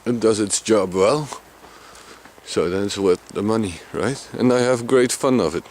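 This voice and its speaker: Deep-voiced Dutch man mumbling outdoors. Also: a little slow, darkish tone, slight background noise. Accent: Dutch Limburg. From Limburg, Netherlands. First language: Dutch Limburg dialect. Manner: deep voice, mumbling, chatty with small laughs. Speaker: vlogger. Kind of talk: casual outdoor vlog narration. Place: outdoors in flat open countryside.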